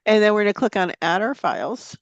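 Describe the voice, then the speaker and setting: A woman's voice: mid-range voice, expressive, fast, no room sound, muffled recording. Chatty woman presenter with a slight cold. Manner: chatty, laughs easily, lots of ums. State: has a slight cold, sniffling. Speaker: presenter teaching photo editing software. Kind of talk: live software demonstration. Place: meeting room or online forum.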